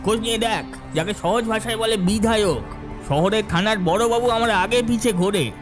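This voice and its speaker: Cartoon landlord bully, male actor: high-pitched, taunting, boastful, music underneath. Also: moderate pace, natural voice. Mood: mocking, boastful. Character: arrogant bullying male landowner with political connections. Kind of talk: cartoon story dialogue.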